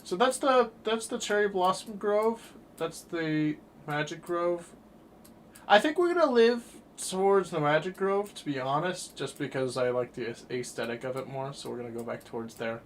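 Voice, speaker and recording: A twenty-year-old man streaming a game and chatting casually, rambling through his thoughts with sudden bursts of excitement. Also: fairly high pitch, moderate pace, slight background noise. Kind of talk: casual chat while livestreaming a game.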